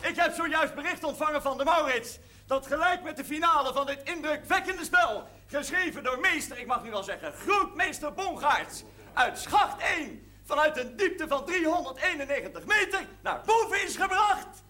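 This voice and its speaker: An actor playing an excited, pompous master of ceremonies orating loudly in a television drama. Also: male voice, extremely high pitch, moderate pace, full character voice. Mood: excited, proud. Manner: loud, orating. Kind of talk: television drama public announcement. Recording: faint crowd, 1970s television soundtrack.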